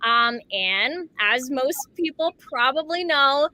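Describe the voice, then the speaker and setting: A woman's voice: high voice, expressive, takes it slowly, bright, forceful tone, no echo, poor audio. A woman, an effusive and talkative podcast guest telling her story conversationally. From born in Slovakia, grew up in America from age five. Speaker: podcast guest, life coach.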